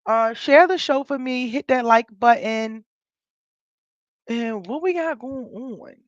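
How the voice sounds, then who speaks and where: Raspy American woman livestreaming, dull dry sound. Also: fairly high pitch, takes it slowly, dry recording. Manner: rambling, unfiltered, folksy. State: coming down with a cold, scratchy throat. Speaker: livestream host. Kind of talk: casual live chat with viewers. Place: indoors at home, in an office.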